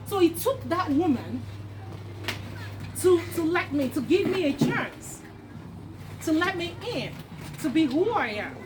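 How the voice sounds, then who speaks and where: A woman from Nigeria sharing her personal story as a bank employee at an outdoor networking event, animated and laughing often. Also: very high-pitched, unhurried, pauses often. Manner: animated, laughing often, acts out quoted voices. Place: outdoor park. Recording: noisy outdoor recording.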